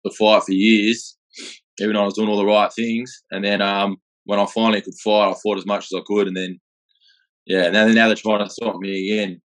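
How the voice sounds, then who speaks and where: Flat-voiced Australian man telling his story. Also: on the low side, fairly quick, low-quality recording. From Australia (Perth). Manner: plain-spoken, rambling, with restarts and mild swearing. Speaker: guest, gym owner and boxer. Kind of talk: casual storytelling in an interview.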